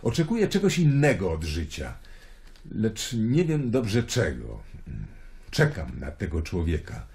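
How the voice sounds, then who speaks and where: A man's voice: fairly low pitch, huge pitch swings, unhurried, darkish tone, heavily acted. An actor playing a world-weary, searching Roman nobleman in a radio play. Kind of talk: dramatic radio play acting.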